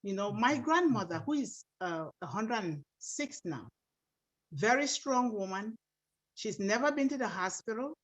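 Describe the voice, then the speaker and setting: A woman's voice: medium pitch, animated, unhurried, poor audio. Earnest woman from Cameroon on a video call. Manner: earnest, grateful, storytelling. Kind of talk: caller sharing a story on a video call.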